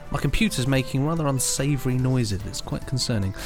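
A man's voice: mid-range voice, normal speed, soft background music. A man hosting a podcast, chatty and playful as he presents news and reads out blurbs. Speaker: podcast host.

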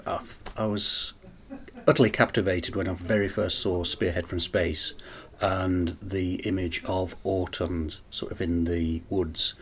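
A man speaking as a writer in an interview, thoughtful and hesitant, pausing as he explains his work. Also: low voice, breathy edge. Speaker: short story writer. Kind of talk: interview talk about writing.

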